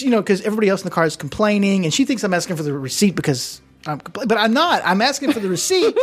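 A woman's voice: medium pitch, husky. A woman co-hosting a casual chat, telling a comic story with lots of laughter and acted-out quotes. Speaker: co-host.

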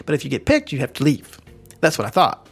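A man's voice: fairly high pitch, wildly swooping pitch, quick pace, husky, soft background music. Rambling man telling a story. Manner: rambling, self-correcting anecdote with asides. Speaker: podcast co-host. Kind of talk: casual chat, storytelling. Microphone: studio microphone plugged into computer.

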